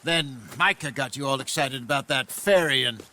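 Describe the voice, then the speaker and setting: An actor playing a weary, wry older outlaw in a video game, with an American accent and a folksy, plainspoken manner. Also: male voice, mid-range voice, moderate pace, pushed and bright, slight background noise. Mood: worried. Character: weary, wry old male outlaw, worried elder. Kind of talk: naturalistic video game acting.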